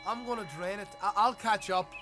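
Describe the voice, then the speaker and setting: A man's voice: high-pitched, steady tone, a little fast, music in the background, natural voice. An actor playing a sheepish, evasive outlaw henchman in a western video game. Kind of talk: video game cinematic acting.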